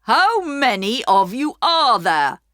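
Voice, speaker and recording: A woman voicing a stern middle-aged male station master who speaks slowly and firmly. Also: medium pitch, very animated, a bit bright, no echo, clean studio sound, exaggerated voice. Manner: slow, firm and scolding.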